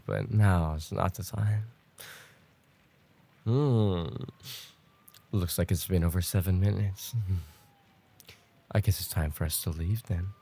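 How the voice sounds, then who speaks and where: A man playing a possessive, smugly menacing stalker in an ASMR roleplay, taunting in a mock-tender, unhurried way with a gravelly, husky voice. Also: low voice, warm tone, full character voice. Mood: smug, hurried.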